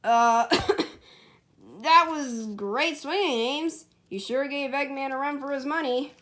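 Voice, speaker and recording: A woman's voice: sits high, takes it slowly, fairly bright tone, voice pushed up. A woman voicing a cocky but awkward young male hedgehog hero in a storybook, stammering and boastful.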